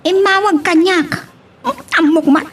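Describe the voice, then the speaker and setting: A woman's voice: very high-pitched, steady tone, measured pace. A woman playing a chatty young working woman talking with a friend in an audio love drama. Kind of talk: Ilocano radio-style love drama acting.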